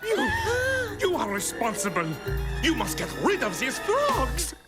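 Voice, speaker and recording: An actor voicing a fussy, snooty, easily flustered maitre d' in a children's cartoon, theatrical and halting. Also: high-pitched, wildly swooping pitch, full character voice. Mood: panicked, pleading. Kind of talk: animated children's cartoon voice acting. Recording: loud music behind the voice.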